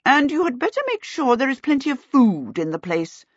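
A woman's voice: sits high, big pitch swings, normal speed, husky, dry recording, highs cut off, voice pushed up, full character voice. A woman voicing a bossy, rich older aunt who gives commands in an audiobook. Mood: imperious. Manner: commanding, decreeing. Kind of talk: audiobook character voice.

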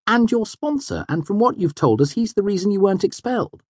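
An excitable young male student in an audiobook, acted out by a man, his pitch pushed high and swinging about. He is honest and good-natured, talking with earnest, emphatic force. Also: brisk, breathy edge, no echo, exaggerated voice. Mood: tactful. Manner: emphatic, earnest, easily indignant. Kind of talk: audiobook character voice.

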